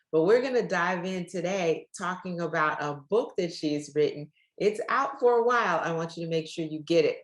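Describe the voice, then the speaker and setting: Effusive woman hosting, low voice, echoey room. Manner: effusive, addressing the audience directly. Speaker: interview show host. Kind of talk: upbeat faith talk show interview. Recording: roomy, echoey sound.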